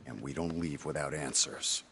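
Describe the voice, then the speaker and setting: Very deep, gravelly brooding warrior. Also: male voice, moderate pace, bright, forceful tone, a little hiss or hum, heavily acted. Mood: blunt, gruff. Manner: blunt, terse, brooding. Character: gruff, single-minded male warrior obsessed with vengeance. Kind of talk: dramatic video game cutscene acting.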